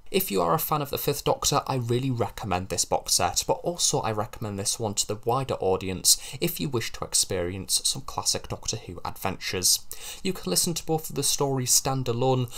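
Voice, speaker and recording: Guy doing a review, earnest and measured, normal mid pitch. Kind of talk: audio drama review commentary.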